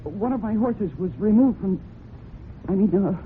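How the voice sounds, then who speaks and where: A woman's voice: medium pitch, expressive, moderate pace, natural voice. An actress playing an anxious, pleading young woman who owns a horse, in earnest old-time radio drama style. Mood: flustered. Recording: muffled, noisy vintage radio broadcast.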